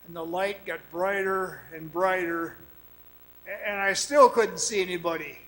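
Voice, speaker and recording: A man playing a weary, awestruck man who recounts an eerie ordeal in a radio drama, dramatic, halting and haunted. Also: high voice, a bit bright, poor audio. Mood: tense wonder. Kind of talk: dramatic radio play narration. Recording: music behind the voice.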